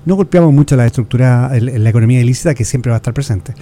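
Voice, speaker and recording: A man's voice: medium pitch, racing, mellow, slight background noise. A Chilean man with a Chilean accent, speaking as a guest expert in an earnest, lecturing way, asking rhetorical questions and restarting words. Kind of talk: explanatory interview talk.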